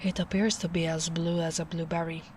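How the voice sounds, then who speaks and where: A young woman playing a gentle, protective female ogre in a soft-spoken ASMR roleplay, speaking slowly and soothingly with quiet breathy asides. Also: lowish voice, level tone, slight background noise, lightly acted.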